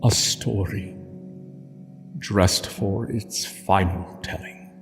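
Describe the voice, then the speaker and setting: A man giving solemn scripted literary narration in a hushed, measured way, with dramatic pauses. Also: low voice, fairly even intonation. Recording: long hall reverb, music underneath.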